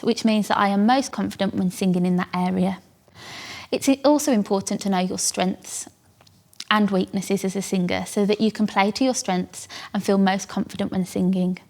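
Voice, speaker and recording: A young woman with a British accent teaches church singers in a steady, earnest voice. Her delivery is calm and encouraging, an even scripted lesson given at a middle pitch. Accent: British English. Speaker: church worship vocal coach.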